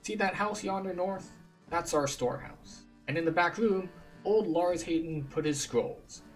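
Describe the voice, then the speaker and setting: A man voicing a friendly, rustic, uneducated village quest giver in a video game, with animated folksy speech. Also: sits high, unhurried, faint music behind, voice pushed up, rough recording. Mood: earnest.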